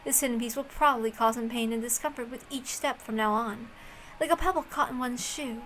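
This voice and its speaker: A woman doing an expressive amateur read-aloud of a visual novel in a casual, homemade way. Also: fairly high pitch, a little fast, slight background noise, poor audio.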